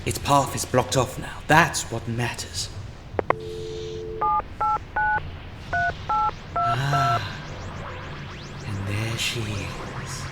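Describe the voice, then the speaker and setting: A man's voice: medium pitch, over loud music, lightly acted. A man playing a scheming, self-important male time-meddler in a fan audio drama, speaking with sardonic impatience. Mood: grandiose. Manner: sardonic and impatient, slow deliberate delivery.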